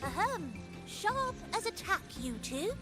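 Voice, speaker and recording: A woman's voice: extremely high pitch, sing-song, music in the background, exaggerated voice. A woman voicing a theatrical, self-important old-timer sleuth in a video game, drawing out words pompously. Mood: conspiratorial, boastful. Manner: dramatic, drawn-out, pompous. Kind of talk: game character acting.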